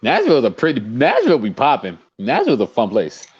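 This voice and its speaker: A man's voice: mid-range voice, wildly swooping pitch, brisk, husky. A man with a light Cleveland accent co-hosting a sports podcast in casual banter. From Cleveland, USA. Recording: dull, lo-fi microphone sound.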